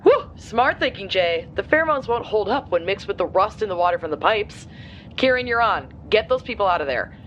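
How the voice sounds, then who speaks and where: Mission support for an actress: brisk female hero's teammate giving orders, medium pitch, dull muffled audio with faint rumble. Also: lively intonation, lightly acted. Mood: relieved, urgent. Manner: brisk, instructing. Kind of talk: audio drama acting.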